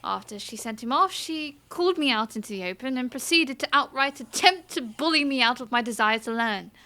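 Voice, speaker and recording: Petulant young princess, high voice. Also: female voice, lively intonation, fairly quick, sharp tone. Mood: indignant, exasperated. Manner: petulant, sighing, formal. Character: sulky, proud, thorny young fantasy princess. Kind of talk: fantasy audio drama acting.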